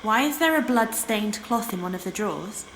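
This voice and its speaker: A woman's voice: mid-range voice, animated, normal speed, fairly bright tone. An actress playing a woman investigator in a horror game, asking direct, probing questions. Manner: direct, inquisitive. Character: woman investigator questioning spirits in a haunted hotel. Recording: echoey with clear background noise.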